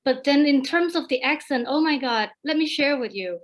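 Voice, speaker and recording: A woman in her thirties from China, speaking English as a second language, telling an animated story as a guest on an online alumni panel, with many repeated starts. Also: sits high, normal speed. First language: Chinese. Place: home, over a video call.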